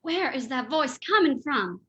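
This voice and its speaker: Woman doing detective's lady sidekick in old radio mystery, high voice, earnest and theatrical. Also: sing-song, measured pace, sharp tone, heavily acted. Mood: alarmed. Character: alert young lady, detective's loyal companion. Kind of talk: old-time radio drama acting.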